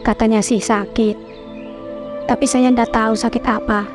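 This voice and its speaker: Cewek meranin mbok tua di kampung, kalem, pelan, ada musik tipis. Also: female voice, a little high, flat delivery, moderate pace, music in the background, natural voice. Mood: guarded. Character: older village woman servant, calm and guarded.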